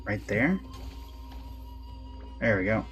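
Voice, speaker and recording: A man's voice: lowish voice, sing-song, slow, fairly bright tone, faint music behind. A man giving casual gameplay commentary, talking to himself as he plays. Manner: talks to himself while playing. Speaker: game streamer.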